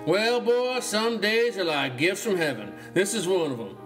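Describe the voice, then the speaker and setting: A man's voice: high voice, expressive, moderate pace, fairly bright tone, soft background music. A man playing a folksy, hearty, trigger-happy uncle in a horror audio story. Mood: gleeful. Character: gleeful, folksy, trigger-happy uncle who hunts zombies.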